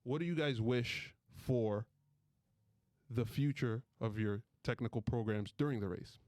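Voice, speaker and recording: A man's voice: mid-range voice, unhurried, a little mellow, no room sound, studio quality. A man hosting a casual sports podcast with friends, talking in a playful, bantering way. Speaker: podcast host.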